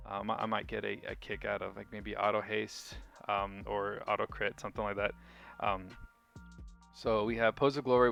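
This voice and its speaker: A man's voice: lowish voice, creaky, pushed and bright. A man giving a casual game walkthrough commentary, informal and enthusiastic like a hobbyist sharing a setup. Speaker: gaming video creator. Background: music.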